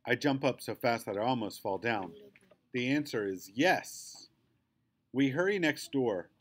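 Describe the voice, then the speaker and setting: Male storybook reader, expressive and animated, with lively, varied intonation. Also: mid-range voice. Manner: animated, acts out the dialogue. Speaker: reader reading a children's novel aloud. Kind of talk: expressive read-aloud storytelling.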